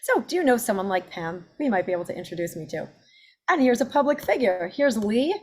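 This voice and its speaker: A woman's voice: medium pitch, slight background noise. Woman, 40s, accent coach; upbeat, self-promoting webinar presenter.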